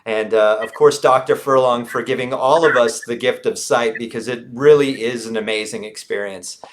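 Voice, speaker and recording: A man's voice: mid-range voice, steady tone, moderate pace, background noise. A man hosting a radio interview, upbeat and joking. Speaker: radio host.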